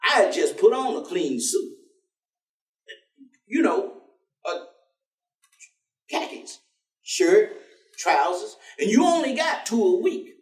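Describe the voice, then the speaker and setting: A warm American ex-con preacher on stage, folksy and slangy. His high voice swings widely as he teases and spins stories. He is heard from a distance in an echoey theatre. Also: very animated, takes it slowly, pauses often, heavily acted. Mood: storytelling. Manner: folksy slangy drawling storyteller, teasing, chuckling. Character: warm, streetwise ex-convict man turned preacher, stubbornly cheerful.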